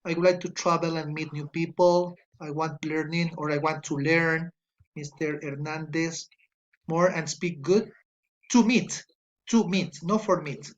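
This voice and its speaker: A man's voice: fairly high pitch, fairly even intonation, dull audio. A man from Ecuador with an Ecuadorian Spanish accent, a native Spanish speaker, teaching an online English class, reading student answers aloud and patiently correcting them. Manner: slow, patient, corrects spelling and grammar aloud.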